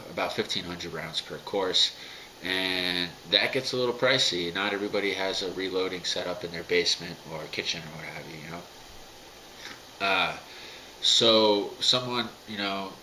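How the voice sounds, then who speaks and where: A man's voice: sits low, pushed and bright, slight background noise, low-quality recording. A man in his thirties, getting over a cold, giving a rambling, filler-heavy talk to his camera at home. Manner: rambling, many fillers and restarts. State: recovering from a cold. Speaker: firearms vlogger. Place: dim home room, indoors.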